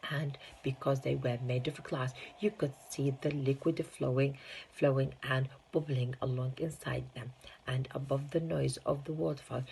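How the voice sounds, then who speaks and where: A woman narrating a children's storybook, halting and sometimes stumbling over long words. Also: very deep voice, creaky, darkish tone, a little hiss or hum, rough recording. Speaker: storybook reader, teaching assistant.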